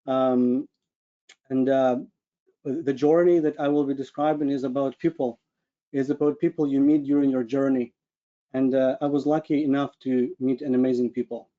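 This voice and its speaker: A man's voice: medium pitch, fairly even intonation, normal speed, mellow, muffled recording. A man from Ukraine with a Ukrainian accent gives an informal personal presentation, searching for his words in an earnest way. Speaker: webinar speaker, medical physicist. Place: online video call with screen share.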